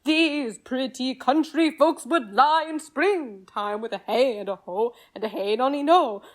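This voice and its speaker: A woman's voice: high voice, a little slow. A woman playing a young page who sings a merry Elizabethan love song in a radio play.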